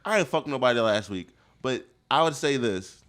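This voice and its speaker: Young male podcast co-host, mid pitch, animated, loose and chatty. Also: normal speed. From Ohio. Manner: laughing, rambling, animated. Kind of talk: casual chat.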